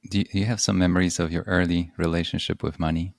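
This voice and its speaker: A man with a Polish accent hosting a podcast, asking measured, open questions of his guest. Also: deep voice, fairly even intonation, vocal fry, no echo, clean studio sound. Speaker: podcast host. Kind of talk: interview.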